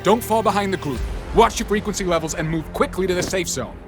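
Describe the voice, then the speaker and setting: A man's voice: high voice, quick pace. A man playing an urgent evacuation leader in a video game, herding a group with commanding directions. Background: music.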